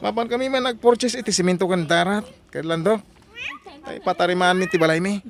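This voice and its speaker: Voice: mid-range voice, brisk, natural voice. An actor playing a boastful, easygoing village husband and father with an Ilocano accent in an audio drama. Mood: boastful, upbeat.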